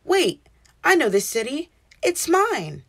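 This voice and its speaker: A woman acts out a proud young boy from a storybook. He is assertive and impatient, his pitch jumping around freely. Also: huge pitch swings, slow, exaggerated voice. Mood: surprised.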